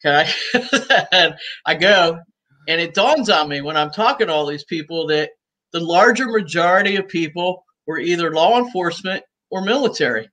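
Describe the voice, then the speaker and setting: A woman in her sixties, talking as a guest on a video call from home, chatty and easygoing and quick to laugh. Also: fairly low pitch, animated, fairly bright tone. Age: 60s. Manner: talkative and easygoing, laughs often. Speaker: guest, cigar shop owner. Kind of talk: casual interview chat. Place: room at home.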